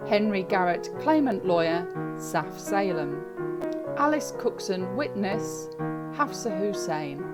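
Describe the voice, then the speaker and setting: Woman reading credits, slow and clear, loud piano music behind. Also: medium pitch. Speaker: credits narrator. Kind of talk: scripted credits announcement.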